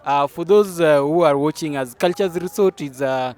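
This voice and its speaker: Fairly high-voiced man, welcoming. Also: moderate pace, darkish tone. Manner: welcoming, hesitant with many fillers. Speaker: panelist. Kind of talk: casual panel chat.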